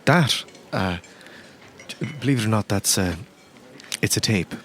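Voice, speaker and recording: Low-voiced ninety-year-old landlady, played by a man. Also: expressive, fairly bright tone, a little hiss or hum. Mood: chatty, wistful. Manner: halting, rambling, folksy. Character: grieving old woman pub landlady, around ninety, chatty.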